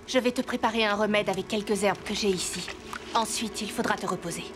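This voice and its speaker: A woman voicing a gentle, caring mother and herbal healer in a children's cartoon, with careful, clear phrasing. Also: mid-range voice, brisk, music in the background, natural voice. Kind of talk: French-dubbed children's cartoon acting.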